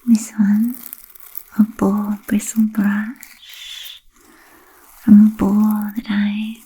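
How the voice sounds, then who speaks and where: Woman as an ancient vampire, breathy slow whisper. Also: medium pitch, dark tone, heavily acted, rough recording. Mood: sly, wistful. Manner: slow, deliberate, archly formal with trailing pauses. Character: ancient refined female vampire, playfully macabre and unhurried. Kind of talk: whispered spooky roleplay ASMR.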